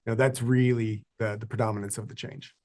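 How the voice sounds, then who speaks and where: A man's voice: lowish voice, little pitch movement, fast talker, darkish tone. A man speaking as a finance executive, giving answers on an earnings call.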